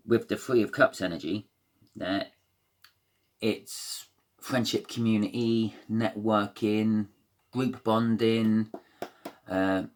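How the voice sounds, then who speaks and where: Low croaky guy doing a laid-back tarot read, rambly and hesitant. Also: a bit bright. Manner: rambling, hesitant, many fillers and restarts. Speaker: tarot reader. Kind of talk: casual tarot card reading.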